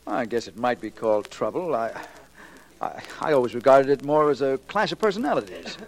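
A man's voice: medium pitch, animated, fairly quick, close to an everyday voice, rough recording. A man acting a cynical, urbane husband in a 1940s radio crime drama, sardonic. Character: suave, flippant, cynical husband under suspicion. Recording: old 1940s radio recording.

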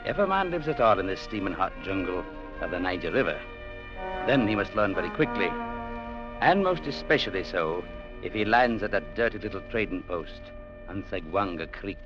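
An actor playing an earnest, peace-loving young Scottish man telling his adventure in a formal, measured radio-drama manner. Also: low voice, very animated. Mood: earnest, proud. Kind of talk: 1940s-50s radio drama acting. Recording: muffled old radio recording, music behind.

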